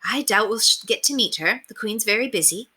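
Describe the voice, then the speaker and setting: A woman's voice: fairly high pitch, expressive, brisk, pushed and bright, slightly rough, pitched above the performer's own voice, exaggerated voice. A woman voicing a prim, earnest young governess who speaks formally and properly in a storybook reading. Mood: determined.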